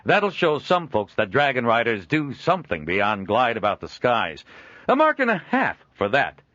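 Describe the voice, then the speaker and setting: A booming, jolly middle-aged merchant, as a man puts him on, pitched up and loud with wide, bouncing swings in his voice. His talk is dry and dull in tone, close and unroomy. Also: huge pitch swings, normal speed, no room sound. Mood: jovial. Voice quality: hearty, booming, laughs often. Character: jovial middle-aged male traveling trader.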